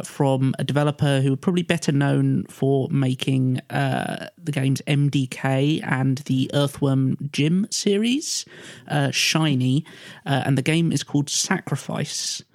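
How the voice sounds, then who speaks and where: A man's voice: fairly high pitch, a little mellow, dry recording, studio quality. A man speaking informally as a panelist on a podcast about films and games. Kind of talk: casual panel chat.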